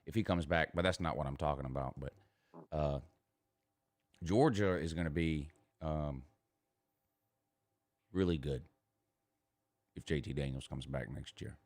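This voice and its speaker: A man's voice: rumbling low, unhurried, pauses often, a little mellow, no echo. A man with a Southern US accent hosting a casual sports banter show, emphatic and boastful, repeating himself and swearing freely. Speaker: morning show host.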